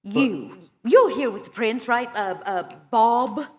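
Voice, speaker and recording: A woman playing a cold, imperious female vampire with a sharp, commanding, menacing manner in a tabletop game. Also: on the high side, expressive, slow pace, big-room sound, lo-fi sound. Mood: impatient, threatening.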